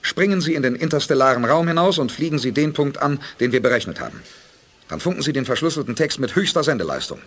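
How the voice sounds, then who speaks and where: An actor playing a self-assured male spaceship commander in a 1980s audio drama, brisk and authoritative. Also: medium pitch, lively intonation, a bit bright. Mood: confident, commanding.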